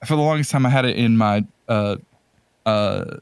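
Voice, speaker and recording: A man's voice: fairly low pitch, moderate pace, clear, resonant tone, no echo, clean studio sound. A man chatting casually as a podcast host, rambling and enthusiastic about his subject. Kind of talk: casual chat about retro games and movies.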